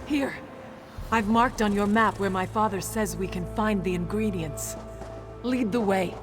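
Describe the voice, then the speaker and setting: A woman plays a desert chieftain's daughter in a video game, proud and hard-willed with a bitter edge. Her voice sits at a medium pitch, with music clearly playing behind it. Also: measured pace, lightly acted. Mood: determined. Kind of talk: video game character dialogue.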